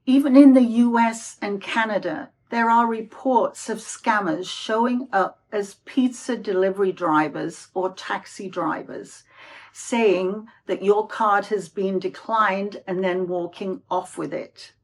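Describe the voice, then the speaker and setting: Female host for informational video: mid-pitched, slow, measured and explanatory. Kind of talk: informational talk to camera.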